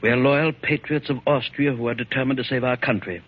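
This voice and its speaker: Man playing husky, coldly commanding conspirator chief. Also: medium pitch, fairly even intonation, close to an everyday voice. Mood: cold menace. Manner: formal, measured, coldly commanding. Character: cold, menacing leader of a secret patriot committee. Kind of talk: 1940s radio drama acting. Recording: dull lo-fi 1940s radio recording.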